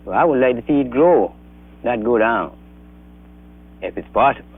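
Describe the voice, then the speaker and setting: A man with a soft South Carolina accent, reminiscing in a plainspoken way in an interview. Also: medium pitch, lively intonation, normal speed, husky. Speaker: local interviewee. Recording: dull 1960s film soundtrack.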